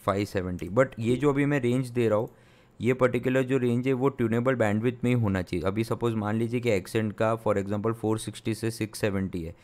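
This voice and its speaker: A young man, a sound engineer from India, explains software step by step in a tutorial, thinking aloud with many fillers and restarts. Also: fairly low pitch, brisk. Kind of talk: screen-recorded software tutorial.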